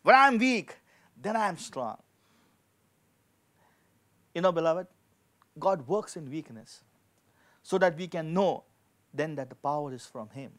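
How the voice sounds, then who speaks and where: A man's voice: fairly high pitch, lively intonation, measured pace, pauses often, fairly bright tone. A man in his forties preaching a sermon as a pastor, emphatically, repeating key phrases for effect. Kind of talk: sermon with scripture reading and prayer. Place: indoor studio with dark backdrop, at a lectern. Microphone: handheld microphone.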